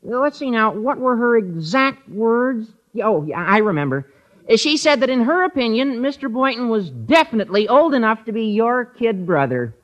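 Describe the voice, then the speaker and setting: An actor playing an eager, gabby teenage high school boy in old radio comedy, youthful and earnest. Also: moderate pace, slight background noise. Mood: gossipy. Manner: earnest, excitable, chatty. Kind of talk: 1940s-50s radio comedy acting. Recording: muffled old radio broadcast recording.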